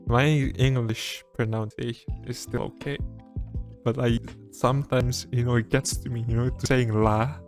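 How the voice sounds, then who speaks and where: A man's voice: mid-range voice, flat delivery, normal speed, soft background music. A young man in casual podcast banter, teasing his friends and swearing, with frequent restarts. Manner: teasing, profane, hesitant restarts. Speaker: podcast co-host. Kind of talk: casual chat among friends.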